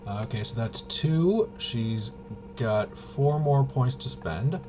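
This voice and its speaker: Slow-talking man muttering through a game. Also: mid-range voice, lively intonation, noisy background. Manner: hesitant, muttering to himself while working things out. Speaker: solo tabletop RPG player and presenter. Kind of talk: casual gameplay walkthrough, thinking aloud.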